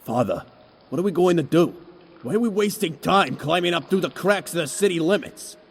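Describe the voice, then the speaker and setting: A man playing a gruff, impatient teenage mutant turtle with a raspy voice in an audio drama. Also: on the high side, animated, a little fast, a little hiss or hum. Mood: surly impatience.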